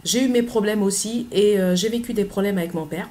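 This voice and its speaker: A middle-aged woman, talking earnestly in a personal vlog, pausing often with hesitant fillers. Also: medium pitch, darkish tone. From Côte d'Ivoire. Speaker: author.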